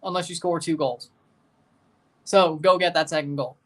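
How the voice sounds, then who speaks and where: A man's voice: fairly high pitch, normal speed. A man in his twenties hosting a live match watchalong, talking casually and joking with his viewers between calls of the play. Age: 20s. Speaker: livestream host.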